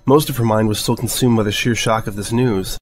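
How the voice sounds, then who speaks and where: A man's voice: lowish voice, steady tone, brisk. A man narrating a scripted piece of fan fiction as a storyteller.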